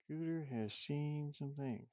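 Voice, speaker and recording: A man's voice: a little high, takes it slowly, dark tone, no room sound, dull audio. A man talking casually to himself as he draws, absent-minded, with playful sing-song asides. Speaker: game artist streaming a drawing session. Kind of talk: casual muttering while drawing.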